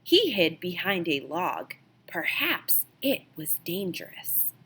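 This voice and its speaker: A woman reading a children's picture book aloud as an expressive storyteller, with dramatic emphasis. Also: medium pitch, husky. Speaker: storybook reader.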